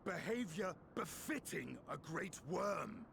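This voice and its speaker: A proud, stern fantasy game nobleman with theatrical, formal diction. His delivery is slow and sweeping, with gravel at the edges. Noise sits clearly behind him. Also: high-pitched, sing-song, exaggerated voice. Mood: challenging. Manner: theatrical, formal, deliberate oratory. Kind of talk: dramatic fantasy game acting.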